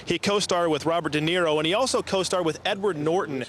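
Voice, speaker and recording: A man's voice: on the high side, quick pace, fairly bright tone. An American man reporting live on location for television news, improvising and correcting himself as he goes. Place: outdoors on location.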